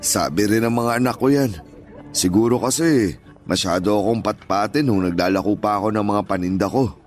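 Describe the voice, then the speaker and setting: A man's voice: fairly low pitch, normal speed, a little mellow, close to an everyday voice. An actor playing a kindly, hardworking older father and former street vendor in a Tagalog audio drama, with a Filipino accent and reassuring, plain-spoken manner. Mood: chatty, content. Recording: music bed behind voice.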